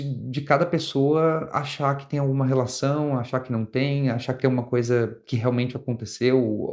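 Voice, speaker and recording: Man, Brazilian Portuguese accent, young adult, channel host, casual review, mid pitch. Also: darkish tone. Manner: conversational, with frequent restarts and rephrasing.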